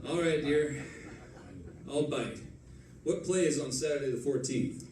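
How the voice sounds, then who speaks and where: An actor playing a suave, wry, easygoing detective husband in the style of 1940s radio drama. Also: male voice, medium pitch, unhurried, darkish tone, lightly acted. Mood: amused banter. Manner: wry, droll delivery. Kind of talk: live recreation of 1940s radio acting. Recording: echoey hall, distant mic, faint noise.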